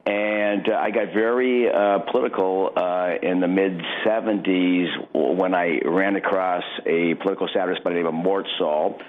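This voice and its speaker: A middle-aged man talking casually as a radio interview guest, in a halting, rambling way with repeated starts. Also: low voice, fairly even intonation. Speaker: radio guest, political satirist and candidate. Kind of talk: casual interview talk, personal anecdotes. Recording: dull lo-fi radio audio.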